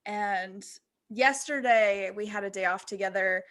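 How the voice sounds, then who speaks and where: A woman's voice: mid-range voice, measured pace, fairly bright tone. A woman co-hosting a podcast, talking in a casual, conversational style. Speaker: podcast co-host. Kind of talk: casual chat.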